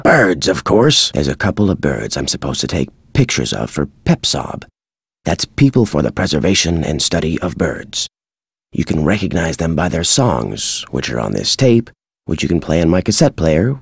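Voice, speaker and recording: An older American man voicing a crotchety retired birdwatcher in a video game, folksy and gruff with a husky, dry delivery. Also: extremely low pitch, very animated, moderate pace, no room sound, heavily acted. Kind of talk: video game character dialogue.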